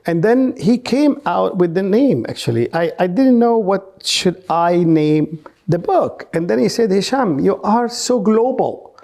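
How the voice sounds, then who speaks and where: Higher-voiced man from Egypt, non-native English. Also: sing-song, creaky, a little mellow. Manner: repeats words for emphasis, halting. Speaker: podcast guest, researcher and businessman. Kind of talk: conversational interview storytelling.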